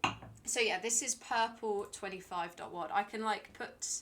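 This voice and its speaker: A woman's voice: medium pitch, normal speed. Woman, British accent, live game streamer, rambling self-talk, noisy home recording. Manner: chatty, self-talking, dry asides. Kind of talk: live gameplay commentary.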